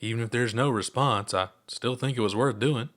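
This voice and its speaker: A man's voice: medium pitch, normal speed, a bit bright, natural voice. A man voices a laid-back alien musician in a sci-fi audio story. The figure is playful and easygoing, talking like a casual announcer. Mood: calm.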